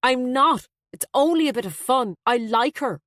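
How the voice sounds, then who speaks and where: A woman voicing an exasperated, defensive young adult son in an audiobook, sharp, weary and emphatic. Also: sits high, lively intonation, moderate pace, dry recording, studio quality, pitched above the performer's own voice.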